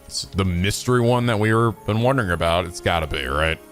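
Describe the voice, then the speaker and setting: A man's voice: sits low, a little fast, a bit bright, soft background music. A man giving casual, profane reaction commentary on a video game, often blurting out interjections.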